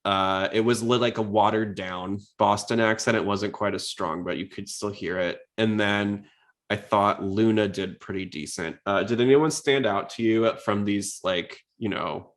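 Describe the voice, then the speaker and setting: Man, lowish pitch, podcast host, casual conversational commentary, rambling, self-interrupting. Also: moderate pace. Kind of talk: casual chat, episode commentary.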